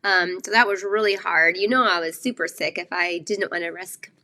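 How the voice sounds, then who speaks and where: A woman's voice: fairly low pitch, moderate pace, bright, forceful tone. Chatty nasal woman hosting a craft live stream. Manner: chatty, rambling with many fillers. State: nasal from a cold. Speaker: craft show host.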